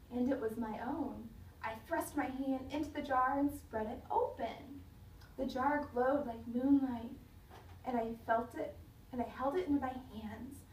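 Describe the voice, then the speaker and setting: A woman teacher reading a children's story aloud in a dramatic, expressive way, pausing to question her listeners. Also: high voice. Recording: roomy, distant mic, faint noise.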